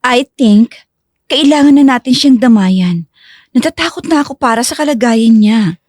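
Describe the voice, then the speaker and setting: A woman's voice: on the high side, lively intonation, normal speed, a little mellow, no room sound, studio quality. A woman playing an earnest, caring young professional woman in an emotive, sincere audio drama performance. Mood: concerned, gentle. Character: Yurika, earnest, caring self-made young woman dentist. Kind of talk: audio drama acting in Tagalog-English.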